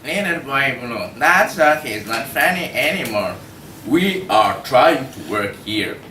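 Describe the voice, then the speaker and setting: A firm, orderly pig foreman acted by a young man runs the jury in a lively, forceful voice with a deliberate pace. The courtroom comedy is read in a roomy space, away from the microphone. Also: mid-range voice. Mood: stern. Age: young adult. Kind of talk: student table read of a comic courtroom play. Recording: distant, echoey room with faint noise.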